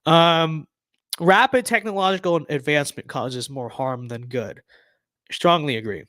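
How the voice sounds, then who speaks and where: A man's voice: fairly high pitch, a little slow, fairly bright tone, no room sound. A young man hosting a casual livestream, losing his voice, rambling with lots of fillers and restarts. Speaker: livestream host.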